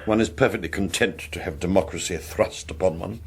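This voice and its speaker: An actor playing an urbane, arch intelligence agent with an upper-class English accent and a droll, mannered delivery in a radio drama. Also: male voice, deep voice, brisk, vocal fry, slightly rough, full character voice. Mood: arch, amused. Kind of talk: British radio drama acting.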